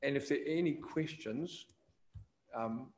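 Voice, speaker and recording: A man with a New Zealand accent chairing a council committee meeting, brisk and procedural. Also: medium pitch, a little mellow.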